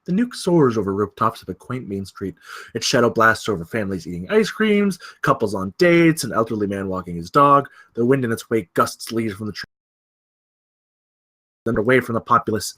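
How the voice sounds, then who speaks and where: A man's voice: fairly low pitch, big pitch swings, normal speed, sharp tone. A man narrating scripted stage directions at a film table read.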